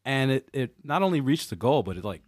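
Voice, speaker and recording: A man's voice: medium pitch, big pitch swings, a little fast, no echo. A man co-hosting a gaming podcast in casual chat, often repeating his phrases. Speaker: podcast co-host.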